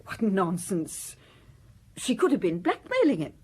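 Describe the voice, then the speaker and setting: Swooping, husky, haughty wife. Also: female voice, medium pitch, wildly swooping pitch, measured pace, darkish tone, heavily acted. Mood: disdainful. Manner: imperious, indignant. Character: haughty, accusing upper-class wife under police questioning. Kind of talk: radio drama acting.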